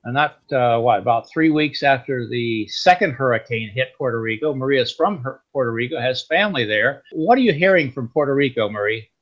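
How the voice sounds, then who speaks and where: A man hosting a podcast, talking in a relaxed, folksy, rambling way. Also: medium pitch, brisk, hardly any pauses. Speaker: podcast host. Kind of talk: casual interview chat. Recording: slightly dull lo-fi sound.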